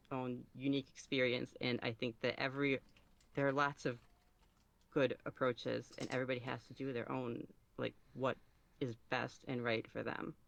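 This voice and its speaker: A man giving casual podcast interview answers as a guest, halting and thinking aloud as he goes. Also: mid-range voice, creaky, a bit bright. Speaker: podcast guest, ASMR roleplay creator.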